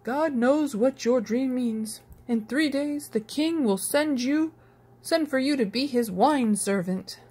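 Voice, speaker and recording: Woman playing young male dream reader, devout, gentle, solemn, slow delivery, storybook. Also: high voice, husky, pitched above the performer's own voice, exaggerated voice. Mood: happy.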